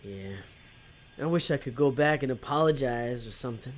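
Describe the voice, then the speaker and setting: A man's voice: mid-range voice, moderate pace, natural voice. A man playing a conflicted, working-class demon tempter in an audio drama, talking in a colloquial, hesitant way. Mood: regretful.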